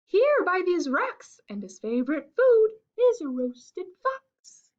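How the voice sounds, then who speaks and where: A woman voicing a brave, clever little mouse in a storybook read-aloud, high and squeaky. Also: slow pace, dull audio, full character voice. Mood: excited. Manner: squeaky, excited.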